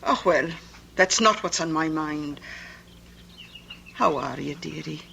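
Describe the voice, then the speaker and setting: An actress playing a cheery, bossy, affectionate older Scottish aunt in a radio drama, motherly and brisk with folksy talk. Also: deep voice, gravelly, pushed and bright, a little hiss or hum, heavily acted. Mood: affectionate, bustling. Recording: old radio broadcast.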